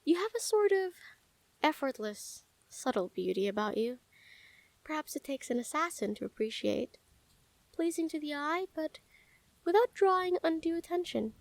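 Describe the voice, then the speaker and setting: A woman playing a calm, menacing yet smitten assassin in an intimate audio roleplay, speaking softly and teasingly with deliberate pauses. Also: sits high, no echo. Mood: smitten, confiding. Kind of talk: intimate scripted audio roleplay addressed to the listener.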